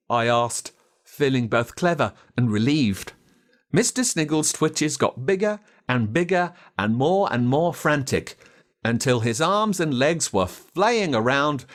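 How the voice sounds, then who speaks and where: An Australian man narrating a children's story in a dramatic, expressive way. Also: fairly high pitch. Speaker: audiobook narrator.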